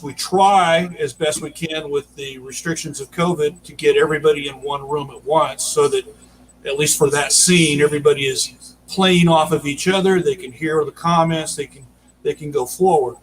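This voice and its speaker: A man explaining his work as an audio drama producer in a casual, halting way during an interview over a video call. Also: on the high side, steady tone, rough recording. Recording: noticeable background noise, video call.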